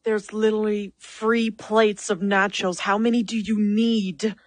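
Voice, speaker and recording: Actor as exasperated partner, deadpan, nagging, cartoon, dry close sound. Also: mid-range voice, measured pace, dry recording, natural voice. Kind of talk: comedic cartoon dub.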